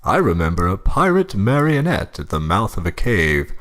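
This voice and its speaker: A man's voice: low voice, very animated, moderate pace, fairly bright tone. A man playing a snooty, mildly befuddled older husband in a comic reading, hesitant and meandering in his delivery. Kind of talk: comic in-character banter and story reading.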